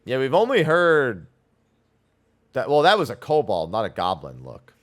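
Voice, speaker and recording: A man's voice: mid-range voice, wildly swooping pitch, normal speed. A man streaming a video game, giving casual live commentary.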